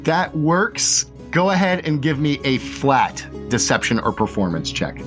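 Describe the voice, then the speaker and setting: A man running a tabletop game as game master, relaxed and full of fillers. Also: medium pitch, a little slow, music in the background.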